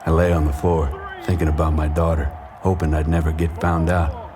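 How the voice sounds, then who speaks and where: A world-weary ex-boxer telling his own noir story. The voice is deep, brooding and bitter, in short clipped sentences. Music plays clearly behind him. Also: little pitch movement, fairly quick. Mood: brooding, regretful. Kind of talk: first-person noir audio drama narration.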